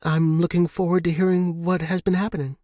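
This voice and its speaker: Man voicing a higher-pitched polite young woman. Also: flat delivery, fairly quick, mellow, dry recording, clean studio sound, close to an everyday voice. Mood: slightly apprehensive. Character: polite young woman, guarded hired dinner companion.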